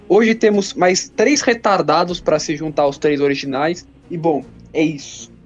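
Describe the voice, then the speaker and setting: A man's voice: a little high, a little slow, soft background music. A young Brazilian man co-hosting a podcast in a casual style, on a muffled recording. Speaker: podcast co-host. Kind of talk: casual introduction. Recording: dull, band-limited audio.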